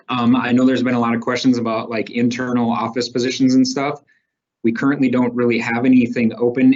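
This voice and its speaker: A man speaking as a recruiter, presenting company slides in a plain, matter-of-fact way with some fillers. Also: medium pitch. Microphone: video call. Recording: muffled video call audio.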